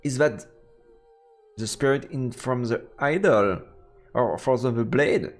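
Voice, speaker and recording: A man's voice: medium pitch, slow, soft background music. A man doing a let's play, reading game narration aloud and reacting casually as he goes.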